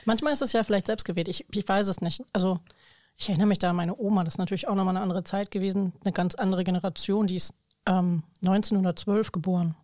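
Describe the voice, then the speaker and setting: A woman's voice: mid-range voice, fairly even intonation, fast talker, a little mellow, no room sound, clean studio sound. A woman chatting casually in a talkative, informal, colloquial way. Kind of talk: casual conversational chat.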